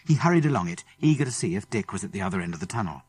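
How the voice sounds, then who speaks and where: A man's voice: lowish voice, animated, rapid-fire, darkish tone, breathy edge, no echo. A man with a British accent reading a children's adventure story expressively, building suspense as he narrates. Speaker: audiobook narrator.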